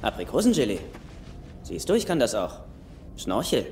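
A man's voice: mid-range voice, huge pitch swings, moderate pace, darkish tone, slight background noise. A man acting in a film dub as a mocking, flippant, sarcastic sidekick. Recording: echoey room under film music.

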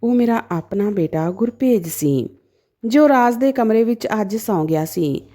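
A woman's voice: fairly high pitch, expressive, measured pace, dark tone. A woman narrating a dramatic story in the first person as a storyteller.

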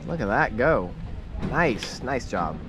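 A man's voice: medium pitch, big pitch swings, takes it slowly. A young man filming outdoors, giving playful, rambling commentary as he teases the people around him. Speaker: camera operator giving commentary. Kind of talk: casual joking commentary. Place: outdoor parking lot by a boat on a trailer. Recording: outdoor camera mic with noise.

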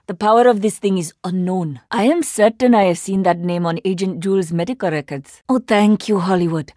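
A woman's voice: medium pitch, a little fast, dark tone, dry recording, studio quality, natural voice. An actress playing a proud, poised Indian woman agent in a video game, with an Indian accent and formal, precise, dryly sarcastic phrasing. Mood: businesslike. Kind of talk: video game character voice lines.

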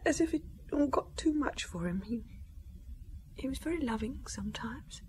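A fragile, grieving wife in a radio play. Her voice is fairly high, breathy and tearful, and she speaks haltingly over faint noise. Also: lively intonation, darkish tone. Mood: sad, halting. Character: grieving, bewildered working-class French wife and young mother. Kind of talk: radio drama acting.

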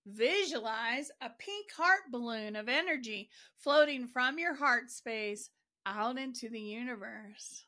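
A woman narrates a guided meditation in a fairly high, bright voice. She speaks very slowly and deliberately, placing each phrase with care. Her delivery is gentle and soothing as she leads the listener along. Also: animated.

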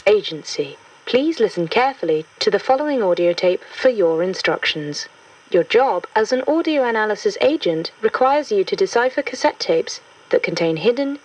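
A woman playing a formal, impersonal agency instructor, reading scripted instructions in a measured, official way on a distant cassette recording. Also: medium pitch, animated, darkish tone, husky. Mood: formal, instructive. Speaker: instructional announcer. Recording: muffled, distant cassette tape.

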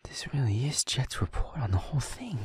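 A man playing a feisty, shaken young werewolf boyfriend in an intimate audio roleplay, husky and halting, muttering in a half-whisper. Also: mid-range voice, big pitch swings, natural voice. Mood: tense, searching. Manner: halting, muttering, blunt swearing.

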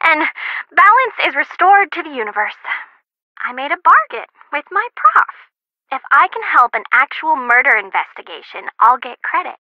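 A cheeky student sidekick in a detective game. Her high, theatrical voice swings widely in pitch, breezy and wry, through a dull phone filter. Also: dry recording. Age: young adult. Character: chatty, cheeky young female criminology student sidekick. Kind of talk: video game character acting over the phone. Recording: muffled phone-like sound.

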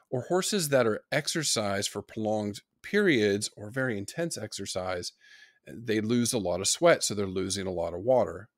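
A man's voice: medium pitch, no echo, studio quality. A middle-aged man lecturing on horse nutrition, giving practical tips in an online course. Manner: practical, advice-giving explanation.

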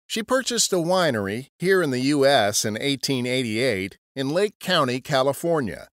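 Male podcast narrator for historical tales; animated intonation, wry storytelling, dry close sound. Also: mid-range voice, moderate pace, no echo.

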